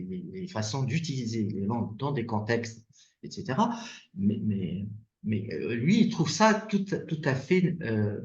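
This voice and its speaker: A man from Tunisia who wrote the book, discussing philosophy in an animated way while searching for his words. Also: fairly low pitch. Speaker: philosophy author leading the discussion. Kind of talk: academic discussion. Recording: echoey, dull lo-fi sound.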